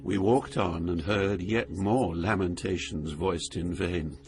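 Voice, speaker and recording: An actor playing an awestruck, fearful poet who recounts a journey through Hell in solemn, vivid dramatized narration. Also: male voice, low voice, slow pace. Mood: solemn horror. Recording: narration over loud music.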